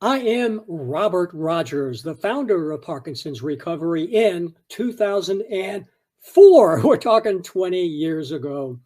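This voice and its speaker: A man's voice: sits high, big pitch swings, unhurried, dark tone. A man hosting an interview, introducing a guest in a formal, courteous way. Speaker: host.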